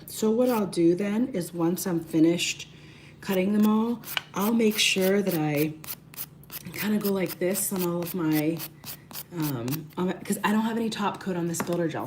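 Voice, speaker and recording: Raspy lower-voiced woman, losing her voice, chatty livestream nail tutorial, little hiss in the background. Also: a little mellow. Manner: chatty, greets viewers by name mid-task. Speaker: beauty livestream host.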